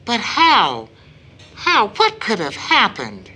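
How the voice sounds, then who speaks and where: A gentle, grave mother in a radio play. Her voice is bright and swings widely in pitch. She speaks slowly and deliberately, with earnest dramatic weight and deep concern for her family. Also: wildly swooping pitch, husky, exaggerated voice. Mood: anguished, bewildered. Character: worried middle-aged mother, gentle and grave. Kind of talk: audio drama acting.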